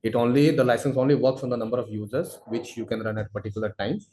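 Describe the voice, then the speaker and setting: Echoey man explaining a product, halting. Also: mid-range voice, fairly even intonation, warm tone. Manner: halting, wordy, repeats filler phrases "you know". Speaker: product expert answering webinar questions. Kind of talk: webinar product explanation, unscripted. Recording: roomy, echoey webinar audio.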